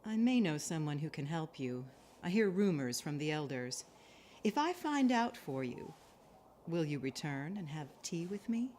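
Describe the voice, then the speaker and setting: A woman's voice: low-pitched, very animated, moderate pace, darkish tone, a little hiss or hum. An actress playing a proud, vengeful and commanding woman in an audio drama, with solemn, imperious wording. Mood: wry, fond. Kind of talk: dramatic audio adventure acting.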